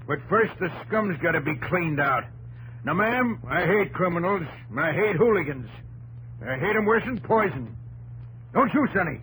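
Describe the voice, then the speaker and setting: A fiery American newspaper publisher of the frontier in a 1950s radio drama. His voice is fairly high, blustery and emphatic, with folksy phrasing, over a slightly noisy, humming old broadcast. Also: lively intonation, moderate pace, bright, forceful tone. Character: fiery frontier newspaper publisher, hard-nosed vigilante leader.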